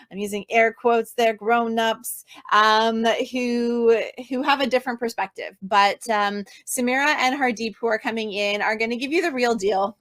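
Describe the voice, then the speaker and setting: A woman's voice: a little high, fairly bright tone. Upbeat woman hosting a video panel. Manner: enthusiastic, many fillers. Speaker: host of an online panel. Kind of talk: upbeat live-event hosting.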